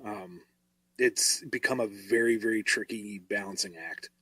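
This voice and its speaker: A man talking casually and reflectively as a writer answering questions, thinking aloud in a halting way. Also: fairly low pitch, sing-song, vocal fry, sharp tone, husky, no room sound.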